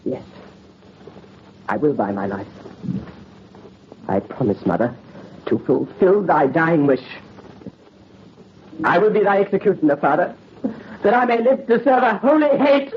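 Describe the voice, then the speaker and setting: Defiant young nobleman, very high, wildly varied pitch, melodramatic radio horror acting, muffled old recording. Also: expressive, a little slow, pauses often, a little hiss or hum. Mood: grief-stricken vow. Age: young adult. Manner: impassioned, melodramatic, archaic theatrical speech. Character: anguished, defiant young French aristocrat son.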